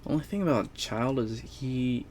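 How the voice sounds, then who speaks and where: A man's voice: medium pitch, animated, moderate pace, a little mellow, slight background noise. A young man giving casual gameplay commentary, slangy and muttering to himself as he plays. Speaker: gamer, video creator.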